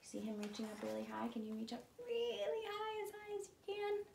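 A young woman reading a picture book aloud to small children in an animated way, pausing to put questions to her listeners. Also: extremely high pitch, creaky. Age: young adult. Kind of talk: read-aloud children's storytime. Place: living room at home, indoors. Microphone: camera a few feet away. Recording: roomy, distant mic, faint room noise.

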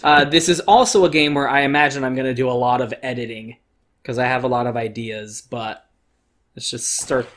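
A man's voice: mid-range voice, steady tone, brisk, a bit bright. A man giving casual, opinionated gameplay commentary, swearing freely and stressing his points. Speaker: let's play host.

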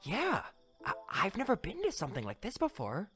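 A woman's voice: medium pitch, huge pitch swings, normal speed, a bit bright. A woman voicing a nervous, apologetic young male cartoon pony who stammers and hesitates, with music behind. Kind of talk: animated cartoon dialogue.

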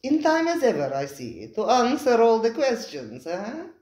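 A reader voicing a sly, smooth male restaurant owner who purrs his words with false charm. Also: medium pitch, huge pitch swings, normal speed, darkish tone, roomy sound, voice pushed up. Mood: silky. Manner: purring, silky, falsely genial.

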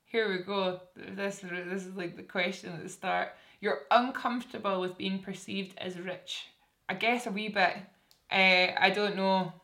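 A woman's voice: mid-range voice, steady tone, a little slow, sharp tone. A young woman with a Scottish accent chatting casually to camera in a small room, answering viewers' assumptions in a self-deprecating way with lots of restarts. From Scotland. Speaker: beauty vlogger. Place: small indoor room with curtain backdrop.

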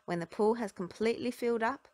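A woman reading a children's storybook aloud with expressive, dramatic phrasing. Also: fairly high pitch, fast, dry recording. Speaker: children's book reader.

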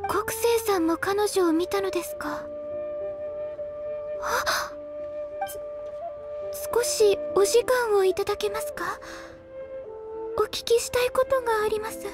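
A woman voicing a polite, earnest and anxious young female secretary in a video game, speaking softly, formally and hesitantly. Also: very high voice, a little slow, pauses often, music in the background. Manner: soft, formal, hesitant and very courteous. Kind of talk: Japanese video game voice acting.

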